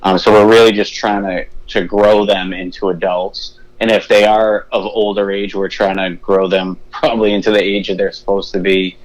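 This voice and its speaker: Man, Boston accent, low voice, phone guest, calm, explanatory. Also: normal speed, hardly any pauses, poor audio. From Boston area. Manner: easygoing, explanatory, lists services steadily. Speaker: treatment center CEO, phone guest. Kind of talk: casual phone interview. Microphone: phone line.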